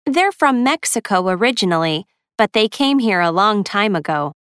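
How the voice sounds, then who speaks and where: A woman's voice: medium pitch, very animated, moderate pace, fairly bright tone, clean tone, dry recording, clean studio sound. A woman in her twenties reading the lines of a young dance teacher plainly in a scripted textbook dialogue. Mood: calm. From United States.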